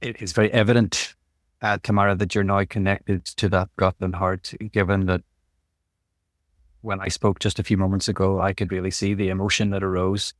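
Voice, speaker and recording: A man hosting a podcast interview, asking gentle, searching questions with stammered repetitions. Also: deep voice, level tone, moderate pace, no echo, clean studio sound. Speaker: podcast host.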